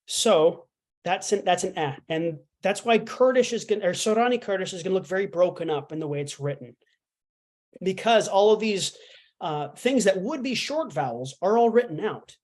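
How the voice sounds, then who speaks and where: Easygoing teacher talking through a lesson, mid pitch, loose and chatty. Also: lively intonation, moderate pace, pauses often, a little mellow. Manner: chatty, explains with fillers and playful asides. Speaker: language instructor. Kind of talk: casual online language lesson.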